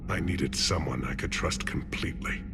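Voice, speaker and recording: Low, grave alien general. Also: male voice, over loud music. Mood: grave, guarded. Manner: formal, measured. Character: grave, guarded older male alien military leader and father. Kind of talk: video game voice acting.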